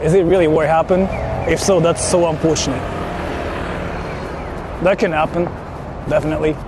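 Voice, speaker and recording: A man's voice: on the high side, fairly even intonation, moderate pace. A man livestreaming outdoors, chatting casually and informally, repeating himself and swearing as he rambles. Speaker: livestreamer hosting an outdoor stream. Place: outdoors on Tokyo streets. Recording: noisy city street with passing traffic.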